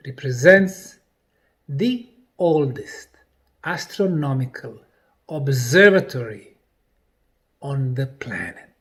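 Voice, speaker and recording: A man delivering a scripted documentary presentation in deliberate, emphatic phrases broken by pauses. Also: fairly high pitch. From Bosnia.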